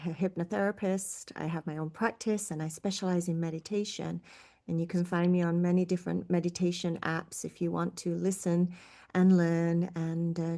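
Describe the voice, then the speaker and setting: A woman's voice: on the low side, a little mellow. Bubbly woman podcast co-host. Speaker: podcast co-host, spiritual teacher. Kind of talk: casual podcast chat.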